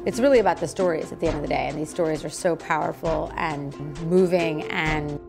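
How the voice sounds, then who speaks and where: A woman's voice: low voice, brisk, vocal fry, music in the background. A woman speaking as an interviewee, explaining the work of her organization.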